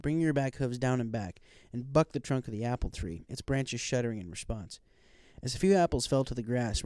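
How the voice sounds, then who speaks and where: A man's voice: medium pitch, warm tone, dry recording, clean studio sound. A man reading a scripted story aloud as an audiobook narrator.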